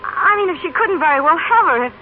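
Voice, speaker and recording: An actress playing an awkward, self-sacrificing working-class mother in a vintage radio drama, speaking haltingly with stammers and homespun grammar. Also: extremely high pitch, expressive, a little hiss or hum. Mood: earnest, pleading. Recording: tinny band-limited old radio recording.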